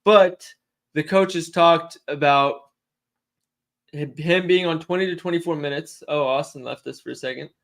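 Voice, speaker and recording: A young man co-hosting a casual sports betting livestream, chatty and quick to laugh while he answers questions from the chat. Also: on the high side, creaky, a bit bright. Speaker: sports betting stream co-host.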